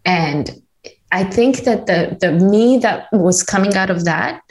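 Woman guest from Tanzania, earnest storyteller, mid pitch, lively, restarts often. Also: slow pace. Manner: earnest, animated, many restarts and "you know". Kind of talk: personal story in a conversation.

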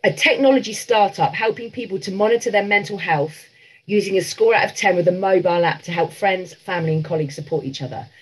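A woman organising a live event, introducing the speakers quickly and partly reading from her notes. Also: medium pitch, breathy edge, rough recording.

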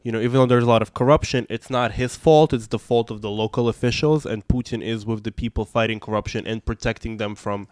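A man raised in Russia talks through his answers in an interview, pausing often with ums and restarting his sentences. His voice is smooth and clear, recorded close and dry with no room sound. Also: mid-range voice, fairly even intonation, darkish tone, dry recording. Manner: thoughtful, frequent ums and restarts. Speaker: podcast guest. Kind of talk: interview conversation.

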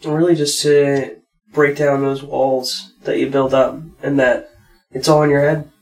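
A man co-hosting a podcast, talking in a relaxed, reflective and plain way. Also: medium pitch, unhurried, low-quality recording. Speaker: podcast co-host. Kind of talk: casual conversation.

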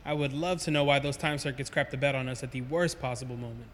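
An actor playing a cocky, wisecracking spaceship pilot in a sci-fi audio drama, breezy and brash. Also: male voice, medium pitch, fast, a bit bright, slight background noise, natural voice. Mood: annoyed.

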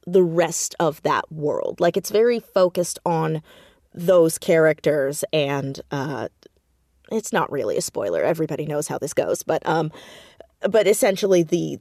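A woman with a slightly low voice talks casually as an interview guest. She is animated and self-deprecating, quick to laugh at herself. The sound is dry, with little room in it. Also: no echo. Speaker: podcast guest, audio drama creator and writer.

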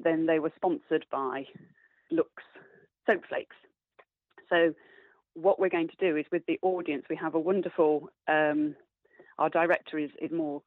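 A woman's voice: sits low, no echo. A woman chatting in a casual radio interview as an amateur stage performer, explaining things in an agreeable way. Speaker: amateur theatre actor being interviewed. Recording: thin phone-like radio line.

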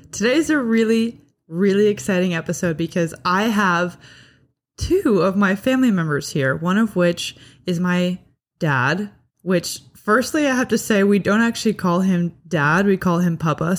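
A woman's voice: fairly low pitch, lively intonation, normal speed. A young woman hosting a podcast in a casual family chat, bubbly and chatty with lots of fillers. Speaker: podcast host.

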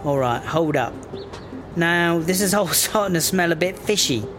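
A man's voice: on the high side, lively intonation, normal speed, noisy background, natural voice. A man playing a brash, foul-mouthed English smuggler in a sci-fi comedy audio drama, sardonic and slangy. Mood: sly, probing.

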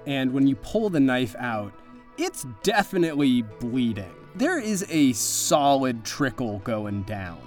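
A man's voice: mid-range voice, sing-song, unhurried, darkish tone. Conversational male game master over faint music. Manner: conversational, descriptive. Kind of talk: improvised tabletop horror-comedy narration.

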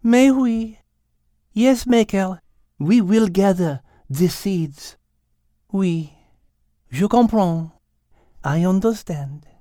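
A man's voice: high-pitched, extremely slow, pauses often, warm tone, no room sound, studio quality, voice pushed up. A man voicing a petite, intellectual female cat with a French accent, precise and composed, in an animal storybook. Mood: poised, refined. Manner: precise, refined, sprinkles in French words.

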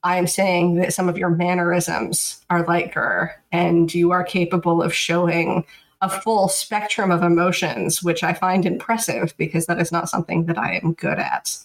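A woman in her thirties co-hosting a podcast, talking in a flat, monotone, dryly sarcastic way. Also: on the low side, normal speed, rough recording. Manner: dry, sarcastic. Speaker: podcast co-host. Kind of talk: casual chat.

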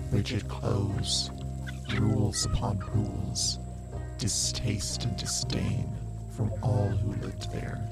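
Actress as low, slow, solemn outcast woman. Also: full character voice. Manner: deliberate, solemn, terse phrases. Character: defiant outcast woman of long ago who talks to animals. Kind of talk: poetic dramatic monologue in audio drama. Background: music.

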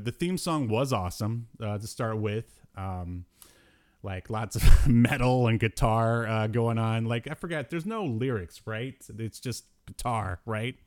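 A man's voice: medium pitch, a little slow, darkish tone, clear, resonant tone, no room sound, clean studio sound. A man hosting a podcast, chatting casually in a joking, rambling, informal way. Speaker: podcast host. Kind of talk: casual pop-culture podcast banter.

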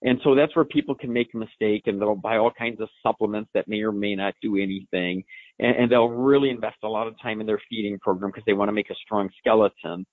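Low-voiced man over a tinny phone line. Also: steady tone, quick pace, no echo. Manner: explanatory, self-correcting. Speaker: horse science expert. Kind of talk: answering listener questions.

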